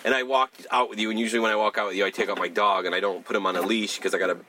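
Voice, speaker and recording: A man's voice: lowish voice, steady tone, racing, pushed and bright, slight background noise. A young man co-hosting a podcast, telling rambling stories in casual chat, swearing and filling his talk with "like" and "dude". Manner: profane, rambling storyteller, lots of "like" and "dude".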